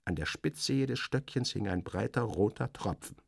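A man narrates literary fiction in the first person with a low voice. His delivery is dramatic and wry, like someone voicing his own thoughts. The sound is very dry. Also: lively intonation, moderate pace, creaky, slightly rough, dry recording.

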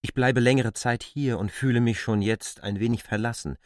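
An actor playing a polite but persistent newcomer to a village in a literary radio play. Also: male voice, medium pitch, steady tone, moderate pace, dry recording, studio quality, close to an everyday voice. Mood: polite, earnest.

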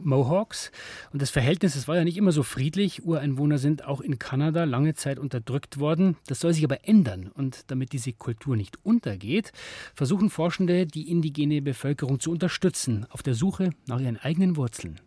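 A man presents a science magazine in a calm, measured way. His voice is warm and husky, a little higher than most men's. The sound is dry and close. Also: no room sound. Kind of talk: scripted radio moderation.

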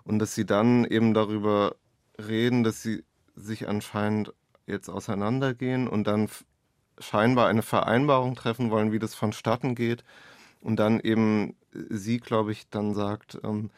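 A man speaks as an author in an interview. His voice is low and stays in a narrow, even range as he searches hesitantly through his explanations. The sound is dry and close. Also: normal speed, no room sound. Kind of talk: reflective interview conversation.

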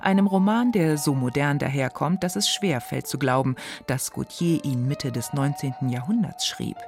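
A woman's voice: sits low, normal speed, a little mellow, soft background music. A woman radio presenter giving a scripted, dramatic introduction to a literary reading.

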